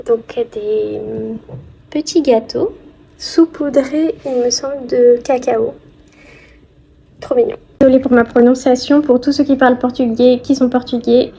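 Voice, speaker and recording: A woman narrating a casual travel vlog in a polite, soft-spoken, descriptive way. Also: high-pitched, creaky, breathy edge, low-quality recording. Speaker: vlogger.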